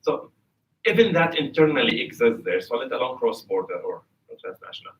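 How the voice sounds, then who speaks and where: A man's voice: medium pitch, very animated, a little fast, lo-fi sound. A man on a panel who tells anecdotes and acts out bits of dialogue. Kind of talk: panel discussion. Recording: distant mic.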